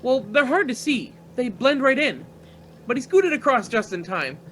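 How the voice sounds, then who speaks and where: A man's voice: extremely high pitch, sing-song, moderate pace, a bit bright, a little hiss or hum, full character voice. An actor playing a cocky, breezy young male driver in a radio play. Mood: easygoing, alert. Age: young adult.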